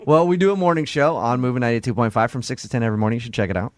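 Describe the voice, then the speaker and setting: A man's voice: mid-range voice, very fast, no room sound. A man hosting a morning radio show, chatting casually and jokingly. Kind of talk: casual radio prank-call chat.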